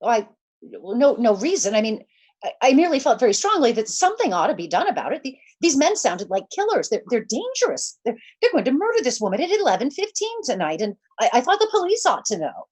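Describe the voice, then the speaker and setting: A woman's voice: on the high side, sing-song, fast, darkish tone, breathy edge. An actress playing an agitated, demanding married woman in an old-time radio thriller, stammering and repeating herself indignantly. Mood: anxious, insistent. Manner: stammering, breathless repetitions, indignant.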